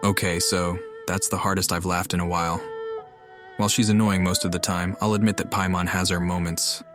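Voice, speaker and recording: A man's voice: low voice, flat delivery, brisk, music in the background. A man giving candid, casual commentary on a game playthrough.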